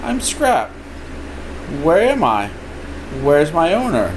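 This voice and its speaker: An older man plays an eager little robot puppy in a children's storybook. The voice is lifted higher, wide-eyed and excitable, with a clear air conditioning hum in the background. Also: slow, full character voice. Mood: curious, hopeful. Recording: steady room noise, air conditioning hum.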